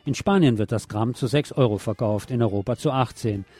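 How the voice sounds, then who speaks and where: A man's voice: mid-range voice, brisk, a little mellow, no echo. A man presenting scripted radio news with a wry, colloquial touch. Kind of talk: scripted news magazine narration.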